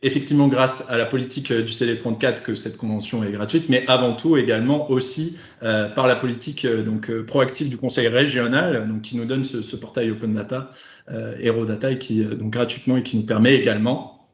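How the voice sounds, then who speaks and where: A man's voice: medium pitch, fairly even intonation, a little fast. Male webinar host, wordy explanatory delivery with hesitations, roomy echoing call sound. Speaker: host and facilitator of an online webinar. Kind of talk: semi-formal webinar moderation. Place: remote video conference.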